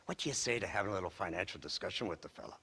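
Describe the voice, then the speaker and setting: A man's voice: sits low, lively intonation, very fast, gravelly, sharp tone, slightly rough, heavily acted. A man playing a smug, condescending government agent of the old West in a video game cutscene. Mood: smugly pleased. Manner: sardonic, condescending.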